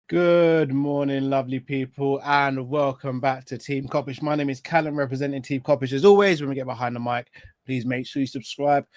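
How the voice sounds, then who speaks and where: A man hosting a casual live fan show, his voice raspy and a bit hoarse and tired, talking in an opinionated way and picking apart wording with repeated rhetorical questions. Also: mid-range voice, fairly even intonation, normal speed. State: hoarse and tired after illness. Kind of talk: casual live football talk.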